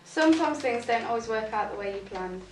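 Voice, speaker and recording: A woman's voice: fairly high pitch, lively intonation, a little fast, faint music behind. A nineteen-year-old woman, a young mother, giving an earnest, reflective personal testimony. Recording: roomy, distant, noisy.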